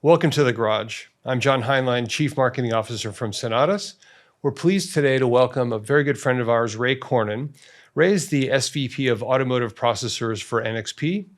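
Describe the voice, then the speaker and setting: Enthusiastic man hosting a podcast. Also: medium pitch. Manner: friendly, enthusiastic, quick laughs. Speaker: podcast host.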